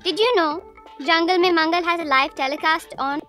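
Shrill-pitched nagging rabbit wife. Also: female voice, a little fast, sharp tone, faint music behind. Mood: bossy. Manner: scolding, sarcastic. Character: nagging, sarcastic rabbit wife, bossy and exasperated. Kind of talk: animated cartoon character acting.

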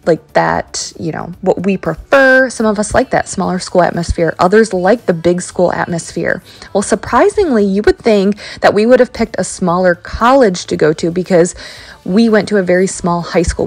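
A woman's voice: fairly low pitch, dry recording. A woman in her thirties chatting casually as a home cleaning vlogger, telling a personal story with lots of ums and likes. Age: 30s. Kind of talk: casual chat over cleaning footage. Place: carpeted home basement and small tiled bathroom.

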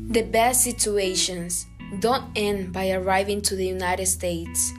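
Guatemalan-born student, reads evenly at a middle pitch over guitar music. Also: female voice, a little slow. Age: young adult. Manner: earnest, steady reading. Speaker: student podcaster. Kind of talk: scripted reading.

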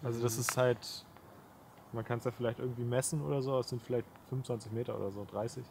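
Young guy on a hike talking to camera, medium pitch, slangy, faint noise behind him. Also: steady tone, fast, gravelly. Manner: colloquial, slangy. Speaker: travel vlogger. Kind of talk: casual chat while hiking. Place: outdoors on a mountain trail. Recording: outdoor camera audio with ambient noise.

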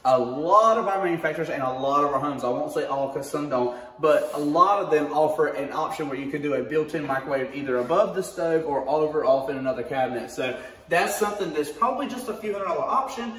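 A man's voice: a little high, fast talker, fairly bright tone, distant mic. A man, hosting a casual home tour vlog on a phone camera, chatty and upbeat. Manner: chatty, upbeat, self-mocking. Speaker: home sales host. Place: indoors in a show home, empty rooms. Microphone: phone camera, often at arm's length. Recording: echoey room, voice a bit off mic.

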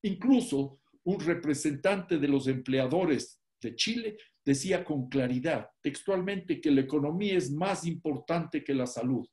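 An older man from Ecuador with an Ecuadorian accent, giving an online lecture from a room at home. He speaks emphatically and deliberately, stacking lists and slowing on key words. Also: a little high, lively intonation, a little mellow. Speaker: economist giving an online talk. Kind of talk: public lecture. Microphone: laptop webcam on a video call.

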